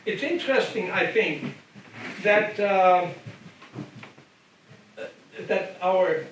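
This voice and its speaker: Older german guy lecturing at church, high-ish voice, very echoey room from far off. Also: extremely slow. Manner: conversational, repeats and restarts phrases, asks the room "okay?". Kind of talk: church adult education lecture. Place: church hall. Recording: very echoey hall, distant mic, background noise.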